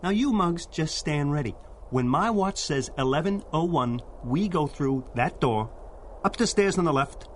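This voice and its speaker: Tough, irritable male mobster man acting, lively swings, barking streetwise period radio delivery. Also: on the high side, normal speed, a little hiss or hum, lightly acted. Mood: bossy, irritable. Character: gruff, bossy, short-tempered 1930s gangster foreman. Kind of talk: 1930s-style radio drama acting.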